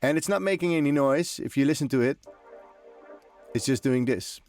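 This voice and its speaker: A man's voice: medium pitch, normal speed, darkish tone. A man hosting a music production tutorial, explaining informally as he demonstrates, in loose, conversational and often hesitant phrasing. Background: electronic drum and synth loops.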